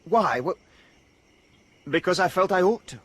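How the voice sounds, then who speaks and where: A man's voice: sits high, expressive, unhurried, a bit bright, no echo, full character voice. An actor playing a lonely, earnest young man raised as an outcast, in a radio drama. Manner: wistful, earnest, wonder-struck. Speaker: the Savage. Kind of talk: BBC radio drama acting.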